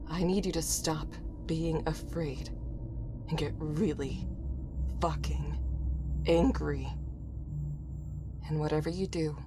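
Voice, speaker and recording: An actress plays a young woman survivor in a horror audio drama, weary yet defiant. Her low voice moves slowly and intensely, each phrase deliberate, with a faint bed of ambient noise behind it. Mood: fierce resolve. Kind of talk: audio drama acting and narration.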